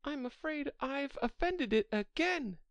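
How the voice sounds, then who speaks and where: A woman's voice: on the high side, lively intonation, takes it slowly, a little mellow, dry recording, voice pushed up. A woman voicing a polite, chatty little girl in a children's storybook, earnest and apologetic as she rambles on. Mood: apologetic, flustered.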